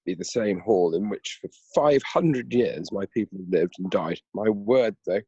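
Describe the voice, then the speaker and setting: A genial but easily flustered gentleman heir acted by a man in a comic play. The voice is husky and fairly low, theatrical in delivery and closely, dryly recorded. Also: normal speed, no echo, highs cut off. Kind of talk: comic amateur radio play reading.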